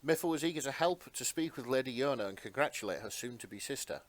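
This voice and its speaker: A man narrating fan fiction as a podcast host, with expressive, sentimental storytelling. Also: medium pitch, quick pace.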